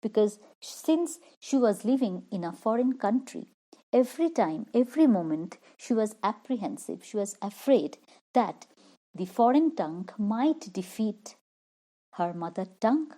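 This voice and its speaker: A woman's voice: medium pitch, animated, measured pace, warm tone. A woman explaining a poem line by line as a literature teacher, repeating and rephrasing each point.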